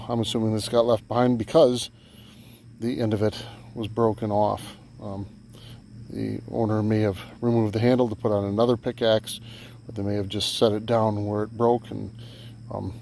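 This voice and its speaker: Man, low voice, gravelly fry, halting understated delivery, casual outdoor vlog, faint background noise. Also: darkish tone. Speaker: metal detecting vlogger. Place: outdoors on rural farm property.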